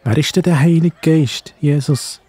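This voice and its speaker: A man's voice: on the high side, a little slow, dark tone, husky. A man playing a curious, devoted disciple in a children's Bible audio play.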